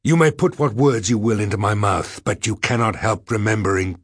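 A man's voice: lowish voice, normal speed, husky, dry recording, clean studio sound. A man playing a bitter, aggressive male academic in an audiobook, speaking with emphatic, dramatic scorn. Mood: loathing, defiant. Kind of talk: audiobook character voice.

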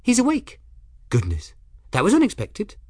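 A narrator voicing an odd, fey, flustered young apprentice girl in an audiobook, breathy and rambling to herself in the third person. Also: mid-range voice, wildly swooping pitch, moderate pace, mellow, dry recording, studio quality, pitched above the performer's own voice. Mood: bright, dotty. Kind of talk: audiobook character dialogue.

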